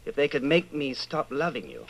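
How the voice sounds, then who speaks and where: An actor playing an earnest, fatalistic secret rebel in an old-time radio drama. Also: male voice, medium pitch, wildly swooping pitch, moderate pace, gravelly, full character voice, poor audio. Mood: intense, fatalistic.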